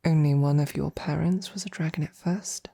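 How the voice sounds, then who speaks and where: A woman playing a devoted, courteous female knight in an intimate romantic audio roleplay, speaking softly and breathily with gentle, halting, formal phrasing. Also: sits low, steady tone, heavily acted. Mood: curious, hesitant. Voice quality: breathy, soft. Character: devoted female royal knight, tender, courteous, easily flustered.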